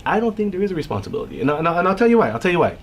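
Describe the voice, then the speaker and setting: A man's voice: high-pitched, very animated, very fast, slight background noise. A man from Toronto, a soca artist, talking confidently and emphatically as a guest in a radio studio interview. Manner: confident, emphatic, explains with examples.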